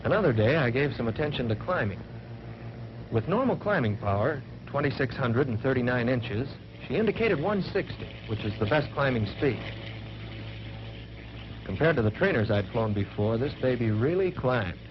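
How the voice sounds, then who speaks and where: A man's voice: mid-range voice, sing-song, normal speed, lightly acted. A man playing a young American fighter pilot, narrating a 1940s military training film in a plain-spoken, folksy first-person way. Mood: matter-of-fact, confident. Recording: dull, very noisy 1940s film soundtrack.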